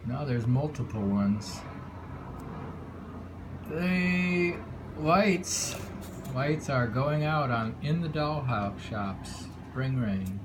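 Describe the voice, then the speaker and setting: A man reading haiku aloud with casual commentary, in an unhurried, musing way with pauses between phrases. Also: medium pitch, lively intonation. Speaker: poetry reader and commentator. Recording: clearly noisy home recording with ambience.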